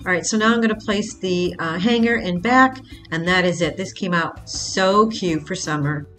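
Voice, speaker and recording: Low-voiced woman explaining a craft. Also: moderate pace, fairly bright tone, soft background music. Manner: plain step-by-step explaining with fillers. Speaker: craft channel host. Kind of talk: casual how-to voice-over.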